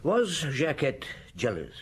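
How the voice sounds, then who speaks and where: An actor playing a thoughtful, tactful chief inspector in a radio drama, probing with hesitations and murmured hums. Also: male voice, a little high, wildly swooping pitch, takes it slowly, full character voice. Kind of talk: classic radio drama acting. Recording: noisy old radio broadcast.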